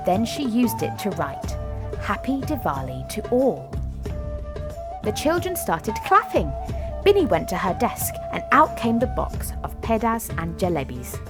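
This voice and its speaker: A woman reading a children's storybook aloud in an expressive, dramatic way, softening to a hush at times. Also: medium pitch, gravelly. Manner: animated, dramatic delivery with softened hushed moments. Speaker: storybook reader. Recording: music behind the voice. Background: music and story sound effects.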